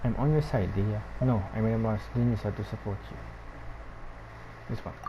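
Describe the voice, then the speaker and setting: A woman's voice: very deep voice, moderate pace, highs cut off, noisy background. A woman giving casual gameplay commentary in hesitant fragments, repeating herself. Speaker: gamer, video creator.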